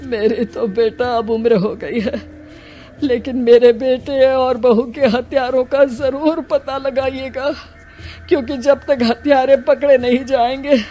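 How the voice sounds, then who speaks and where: A woman playing a weary old village headman, a tearful, pleading father in an animated moral story. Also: sits high, a little slow, faint music behind. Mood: sorrowful, earnest. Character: grieving elderly village headman, weary father mourning his son.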